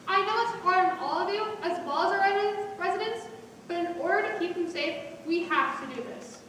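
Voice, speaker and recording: A woman's voice: very high-pitched, brisk, fairly bright tone. A woman on stage playing an earnest, caring nursing-home worker. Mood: earnest appeal. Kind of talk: stage monologue in a one-act play. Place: on stage in a large hall. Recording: echoey hall from far off, faint noise.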